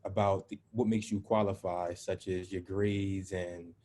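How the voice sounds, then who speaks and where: A man's voice: sits low, little pitch movement, unhurried, mellow, a little hiss or hum. A man answering interview questions, hesitant with many fillers. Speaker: interviewee student.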